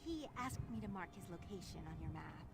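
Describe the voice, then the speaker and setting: A woman's voice: on the low side, animated, brisk, faint music behind. A woman playing a courteous, candid courier in a fantasy video game, speaking in formal phrases. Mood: polite, candid.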